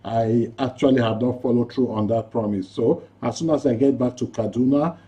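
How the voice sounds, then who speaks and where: Mellow male radio host. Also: medium pitch, moderate pace, lo-fi sound. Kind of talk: live call-in radio hosting.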